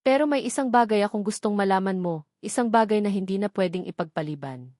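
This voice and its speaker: An earnest young boss declares his love in a calm, steady voice, with a woman reading his lines. The pitch sits in a middle range. The recording is dry and close. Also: quick pace, no room sound, close to an everyday voice. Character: devoted young male boss, earnest and loving.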